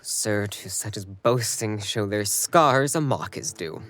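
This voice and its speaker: Deep-voiced, lovelorn Trojan prince played by a man. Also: takes it slowly, fairly bright tone. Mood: sorrowful. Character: Troilus, lovelorn, princely young male Trojan. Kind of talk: stage Shakespeare audio drama.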